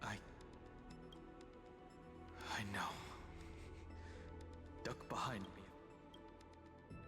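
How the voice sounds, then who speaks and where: An actor playing a guarded, protective male fighter in a video game, speaking tersely and deliberately. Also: lowish voice, big-room sound. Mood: watchful. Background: game music.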